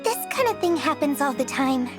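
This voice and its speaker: A mysterious, soft-hearted wandering girl in a video game cutscene. Her voice is high and wistful, with clear music underneath. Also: sing-song, moderate pace. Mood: soft, hopeful.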